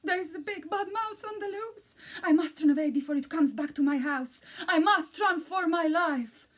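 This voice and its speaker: A woman's voice: extremely high pitch, normal speed, pitched above the performer's own voice. A woman voicing a timid, easily frightened elephant in a children's storybook reading. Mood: panicky. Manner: fearful, fretting.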